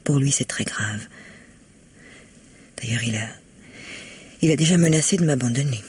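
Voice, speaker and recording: An actress playing a genteel, scheming wife in a 1950s-style French radio mystery, with a husky voice. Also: female voice, rumbling low, normal speed, a little mellow, exaggerated voice. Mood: anxious, confiding. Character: genteel, scheming wife feigning anxious worry.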